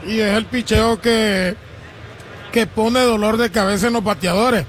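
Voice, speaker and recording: A man giving color commentary on a baseball radio broadcast, explaining the play in a measured way. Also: high voice, steady tone, a bit bright, a little hiss or hum.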